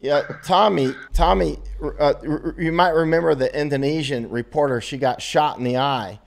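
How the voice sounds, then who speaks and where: A man's voice: on the high side, moderate pace, creaky. An American man hosting a casual remote interview, loose and chatty, laughing easily. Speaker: host.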